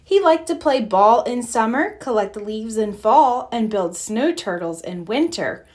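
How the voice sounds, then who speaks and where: A woman reads a children's storybook aloud, gentle and expressive. Her fairly high voice moves through a lively, animated melody. She takes it slowly and clearly, so young listeners can follow. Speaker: children's book reader. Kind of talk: read-aloud storybook narration.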